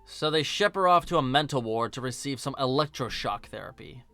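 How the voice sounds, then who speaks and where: Male film review host: medium-pitched, casual scripted narration, dry asides, soft music bed. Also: expressive, brisk, no echo.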